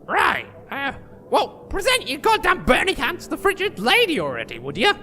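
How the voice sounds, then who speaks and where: A man's voice: very high voice, wildly swooping pitch, moderate pace, soft background music, full character voice. A man voicing a foul-mouthed, short-tempered, bossy adventurer in a comedic fantasy audio drama, ranting and sarcastic. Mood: exasperated, ranting. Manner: crude, ranting, sarcastic, swinging into shouts.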